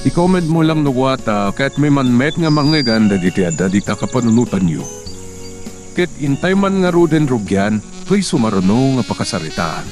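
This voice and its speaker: Lively male narrator over music. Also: mid-range voice, normal speed. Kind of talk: channel outro announcement.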